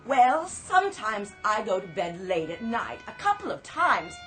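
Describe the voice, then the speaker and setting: A bright, insinuating suspicious neighbor. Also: female voice, medium pitch, very animated. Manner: insinuating, dramatic pauses. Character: suspicious, gossiping female neighbor, hesitant accuser. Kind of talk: dramatic radio drama acting. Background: background music.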